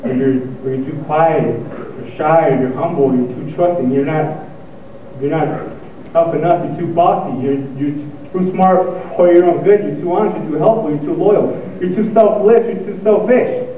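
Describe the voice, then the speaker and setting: Student speaker, young man, stuttering delivery, slightly high voice, heard from far off in a reverberant hall. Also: slight background noise. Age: young adult. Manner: stuttering, pausing and repeating words. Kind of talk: motivational speech. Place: lecture hall. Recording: echoey hall, tinny and far off.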